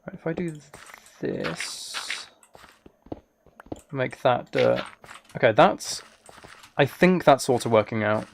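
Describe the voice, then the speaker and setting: Guy muttering thru his game stream, lots of fry in his voice, close mic, bit of hiss and key clicks. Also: mid-range voice, slow pace, pauses often. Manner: relaxed, thinking aloud, muttering to himself. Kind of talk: casual live game stream chat. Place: indoors at a computer. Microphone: close microphone.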